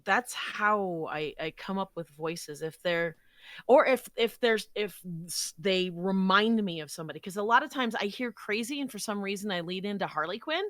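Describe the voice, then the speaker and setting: Bright, forceful woman in casual conversation. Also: mid-range voice, animated, moderate pace, dry recording, studio quality. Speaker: voice actor, podcast guest. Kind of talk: casual conversation, explaining her craft.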